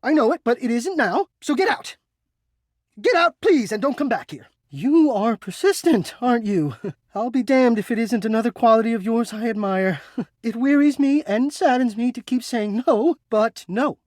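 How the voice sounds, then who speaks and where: A man voicing an anxious, melodramatic, yearning male game character who hides away, with florid, halting, theatrical speech. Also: very high voice, expressive, no echo, studio quality. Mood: weary, pleading. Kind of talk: video game character acting.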